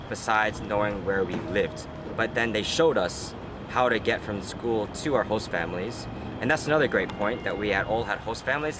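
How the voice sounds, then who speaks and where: Young man, low voice, noisy street. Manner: hesitant, many fillers and restarts. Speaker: host. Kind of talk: casual interview chat.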